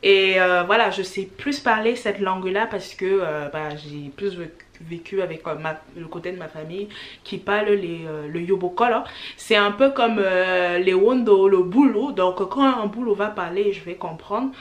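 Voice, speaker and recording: A woman's voice: mid-range voice, normal speed, muffled recording. Giggly chatty young woman vlogger. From France, Cameroonian family. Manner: giggly, chatty, frequent laughter. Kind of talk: casual couple vlog chat.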